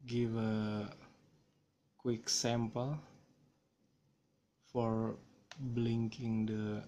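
Slow Indonesian-accented man explaining software. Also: on the low side, flat delivery, dull audio. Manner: slow, hesitant, apologetic, many fillers. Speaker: software tutorial maker. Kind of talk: screen-recorded coding tutorial. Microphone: smartphone camera filming screen.

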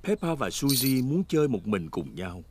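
A man's voice: mid-range voice, moderate pace, slight background noise, close to an everyday voice. A man narrating a children's cartoon as a cheerful, explanatory storyteller. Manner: explanatory, animated. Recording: loud music under the narration.

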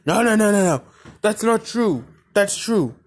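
A man's voice: high-pitched, lively intonation, a little slow. A man voicing a flustered, defensive Minecraft character who stammers and repeats himself, in an amateur animation fandub. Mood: panicked, pleading. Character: panicky, defensive male Minecraft character pleading innocence. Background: music.

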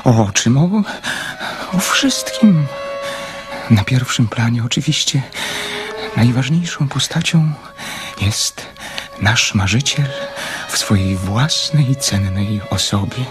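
Slow-spoken, lonely romantic dreamer. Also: male voice, medium pitch, expressive, over music. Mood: rapt, dreamy. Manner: hesitant, halting, poetic. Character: lonely, romantic male dreamer, shy and wistful. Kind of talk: radio drama acting.

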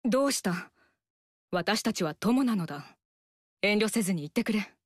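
A woman's voice: mid-range voice, lively intonation, normal speed, dry recording, clean studio sound, lightly acted. An actress voicing a stern, earnest young woman warrior in a video game, speaking in a formal, stiff manner. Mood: flustered earnest. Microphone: studio mic, dry.

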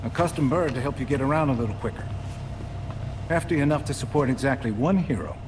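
A man's voice: mid-range voice, fast talker, background noise, natural voice. An actor playing a wry, fatherly older inventor who mentors a hero in a video game cutscene. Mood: warm, assured.

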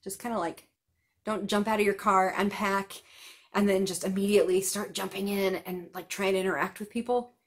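A woman's voice: mid-range voice, fairly even intonation, normal speed. A woman in her thirties giving numbered tips in a casual chat to camera, seated on a couch at home, with small laughs and asides. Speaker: vlogger giving festival tips.

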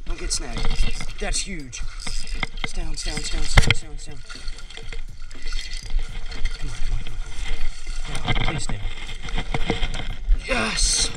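A young man, excitedly narrating his catches on a wooded creek bank, recorded on an action camera he is wearing. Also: on the high side, far from the mic, very noisy. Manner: excitable, keeps narrating catches, says "y'all" and "dude". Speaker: fishing channel host. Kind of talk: excited casual play-by-play while fishing. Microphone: head- or chest-mounted action camera. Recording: action camera audio under loud background music.

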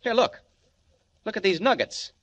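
A man's voice: high voice, sing-song, normal speed, a bit bright, exaggerated voice. A man acting a mild, eager newspaper reporter in a 1940s radio serial. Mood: excited. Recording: dull old 1940s radio recording.

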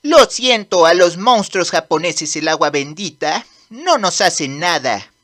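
A woman's voice: on the low side, expressive, measured pace, a bit bright. A woman voicing a cocky young demon prince in a manga dub, brash and commanding. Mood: cool, cocky. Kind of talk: Spanish manga dub acting.

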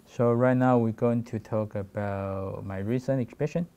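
A man in his forties from Taiwan with a Taiwanese accent, giving a halting talk about his work with many fillers and restarts. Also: mid-range voice, dark tone. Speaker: guest photographer. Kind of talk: presentation talk.

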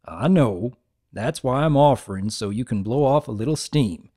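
A laid-back, gently encouraging farm stallion from a cartoon story, played by a man. He talks in a folksy, plain-spoken way at a medium pitch. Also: lively intonation, moderate pace, natural voice.

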